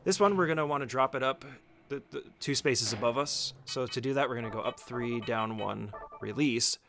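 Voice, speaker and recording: A man's voice: mid-range voice, normal speed, vocal fry, a bit bright, slight background noise. A man giving a casual, step-by-step speedrun tutorial as commentary, speaking informally and giving short instructions.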